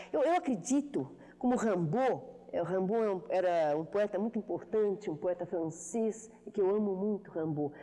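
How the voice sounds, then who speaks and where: A woman in her fifties, a writer being interviewed on television, answering in a measured, reflective way with frequent hesitations. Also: medium pitch, mellow, long reverb tail. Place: television studio. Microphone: lapel mic.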